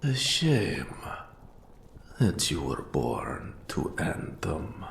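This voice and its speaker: Very deep, croaking old wraith. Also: male voice, sing-song, slight background noise, heavily acted. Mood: grave, approving. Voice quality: gravelly, breathy rasping half-whisper. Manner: slow, archaic formal phrasing with long pauses. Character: old undead wraith mentor, eerie, courtly, erudite, ominous. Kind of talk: scripted fantasy roleplay audio, whispered. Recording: roomy echo on the voice.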